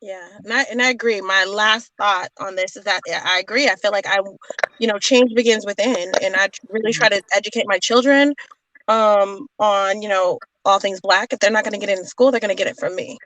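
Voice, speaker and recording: A woman talking casually as a panelist in a relaxed group conversation. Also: medium pitch, brisk, no room sound, low-quality recording. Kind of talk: casual chat.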